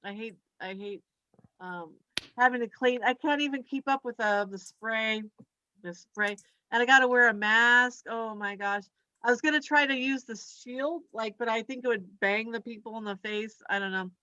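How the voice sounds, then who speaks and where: A woman doing a conversational stand-up comedy set in a halting, scattered, giggly way. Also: on the high side, pushed and bright, no echo, muffled recording. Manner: halting and scattered, giggles through own remarks.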